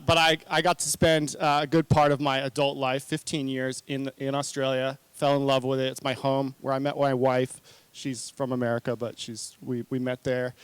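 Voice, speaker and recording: Man in his forties, conference speaker, conversational and earnest, self-correcting, smooth clear tone, dry close sound. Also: mid-range voice, no room sound. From New Zealand-born, raised in Hawaii. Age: 40s. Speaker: conference speaker, marketing consultant. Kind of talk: informal conference talk.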